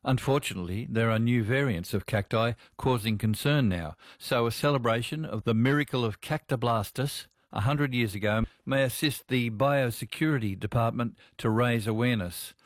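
A man's voice: medium pitch, moderate pace, few pauses, dry recording, studio quality. A man hosting a radio feature, presenting it and interviewing in a laconic, conversational way. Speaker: radio host.

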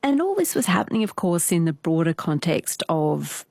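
A low-voiced woman asks measured, probing questions as an interviewer. Her voice has a gravelly edge. The sound is dry and close. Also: big pitch swings, a little mellow, no echo. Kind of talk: radio interview.

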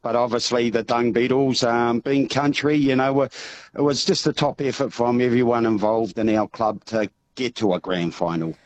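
A hoarse, croaky man, a rugby club founder, speaking as a radio guest over a phone line and rambling with many fillers. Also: mid-range voice, steady tone, moderate pace.

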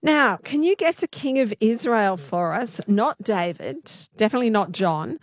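A woman's voice: fairly high pitch, lively intonation, normal speed, dry recording, studio quality. A woman with an Australian accent hosting a live radio quiz, chatting with callers in an encouraging way.

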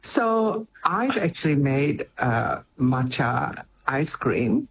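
A man's voice: fairly high pitch, big pitch swings, takes it slowly, gravelly, fairly bright tone, low-quality recording. Guy, cooking teacher, gushing about desserts, a little hiss behind him. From Malaysia. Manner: enthusiastic, descriptive, laughs often. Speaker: cooking educator and author, guest. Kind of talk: radio interview.

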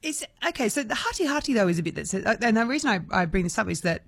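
A radio host, chatty and quick to laugh, interviewing a guest casually. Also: mid-range voice, big pitch swings, creaky, fairly bright tone, no echo, clean studio sound. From Australia. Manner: chatty, laughs easily, rambling restarts.

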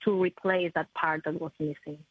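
A woman, a prosthetist answering interview questions in a halting, self-correcting way. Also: sits low, steady tone, husky, dry recording. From Ecuador. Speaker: maxillofacial prosthetist, guest. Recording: tinny phone-like line, dry.

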